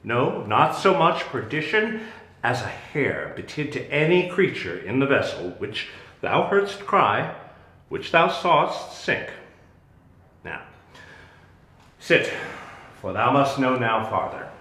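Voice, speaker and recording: An actor playing a protective, commanding exiled magician duke and father, in solemn, deliberate Shakespearean verse. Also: medium pitch, sing-song, pushed and bright, heavily acted. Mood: reassuring, solemn. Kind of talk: Shakespearean dramatic reading. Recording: roomy, echoing sound.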